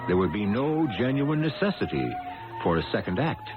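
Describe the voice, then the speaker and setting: A man's voice: mid-range voice, big pitch swings, moderate pace. A man hosting an old-time radio mystery, narrating scripted lines with wry, theatrical, ornate phrasing. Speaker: host and narrator. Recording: dull old radio recording. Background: prominent music.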